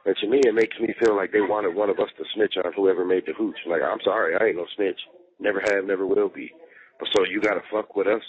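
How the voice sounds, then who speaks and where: Low voiced guy on a tinny jail phone call, casual slangy venting, cusses a lot. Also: fairly even intonation, fast. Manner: heavy swearing, slangy, emphatic repetition. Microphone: telephone handset. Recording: muffled, band-limited recorded jail phone call.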